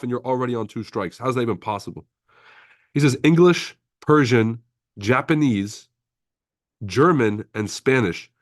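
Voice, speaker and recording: A brash, profane man from Lebanon hosts a casual trading livestream, reading viewer comments aloud and calling everyone bro. His voice sits at an ordinary male pitch, recorded close and dry with no room sound. French was his first language. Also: dry recording.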